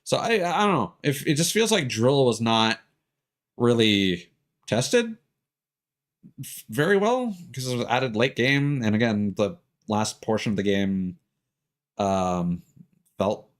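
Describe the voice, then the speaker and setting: A man's voice: medium pitch, lively intonation. Man rambling through casual game commentary. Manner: rambling, hesitant, halting delivery; casual swearing. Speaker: game streamer, host. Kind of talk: casual let's-play commentary. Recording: game music behind the voice. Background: video game music.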